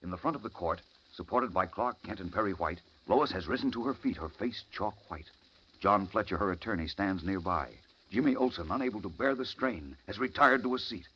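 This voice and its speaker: A man's voice: low-pitched, animated, a little fast. A man narrating an old radio serial in a dramatic, urgent manner. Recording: muffled, noisy old radio recording.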